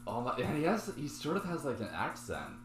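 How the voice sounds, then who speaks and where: A man co-hosting a casual group comic reading, jokey and animated. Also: medium pitch, brisk, reverberant, over music. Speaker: podcast co-host.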